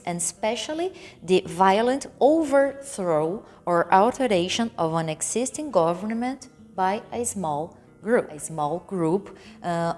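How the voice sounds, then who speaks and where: Slow-speaking Brazilian woman teaching English. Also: medium pitch, a little mellow. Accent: Brazilian Portuguese. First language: Portuguese. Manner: slow and careful, stresses and repeats key words. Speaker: English teacher and channel host. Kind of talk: relaxed explanatory lesson to camera.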